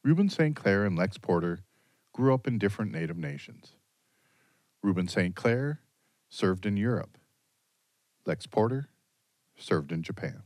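A man hosting a radio documentary program, narrating and discussing with a steady, earnest delivery. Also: on the low side, unhurried, pauses often, no echo.

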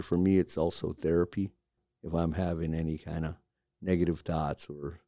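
A man from Alaska, a carver and studio owner, speaking reflectively in a documentary interview, pausing often with fillers. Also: a bass voice, warm tone, no echo. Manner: reflective, halting with many fillers.

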